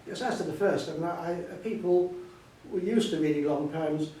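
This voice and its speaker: An older man with a slightly high voice talks as a scholar at a live event, circling his points and stressing them by repetition. The room is very reverberant and he sounds some way from the microphone, with faint noise behind him. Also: normal speed, dark tone. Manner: discursive, emphatic, repeats himself for stress. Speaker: author and scholar being interviewed. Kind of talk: extended spoken explanation at a book event. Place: indoor event hall. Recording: echoey hall, distant mic, faint noise.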